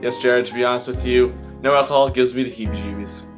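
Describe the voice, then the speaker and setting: A man playing a deadpan 1920s radio co-anchor reading scripted news. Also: mid-range voice, fast, faint music behind, lightly acted. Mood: matter-of-fact.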